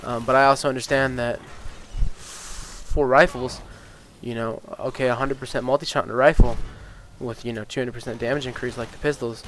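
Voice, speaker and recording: A man's voice: mid-range voice, moderate pace, slight background noise. A man with a stuffy, sniffly nose, chatting casually over gameplay, rambling with lots of ums and getting worked up about game balance. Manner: rambling, with many ums and uhs, and swears freely; gets excited and incredulous about game balance. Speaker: gaming YouTuber.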